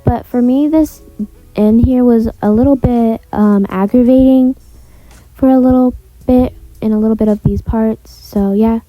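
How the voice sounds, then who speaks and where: A woman's voice: fairly high pitch, steady tone, a little slow, no room sound, narrow, tinny audio. A woman giving a casual, rambling step-by-step tutorial voice-over in an informal way. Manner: informal, rambling, repeats filler phrases. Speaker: video creator and host of a tutorial.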